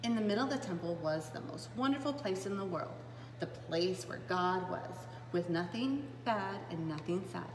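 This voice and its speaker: A woman in her thirties reading a children's Bible story aloud to preschoolers in an animated, dramatic way. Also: fairly low pitch, moderate pace. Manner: animated and dramatic, with gasps, hushed asides and questions to young listeners. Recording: roomy, echoing space with clear background noise.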